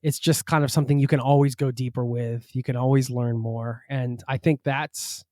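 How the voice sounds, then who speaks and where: A man's voice: medium pitch, steady tone, normal speed, a little mellow, no echo, studio quality. A man hosting a podcast interview, chatty and self-deprecating, sharing personal asides between questions. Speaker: podcast host. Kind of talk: conversational interview.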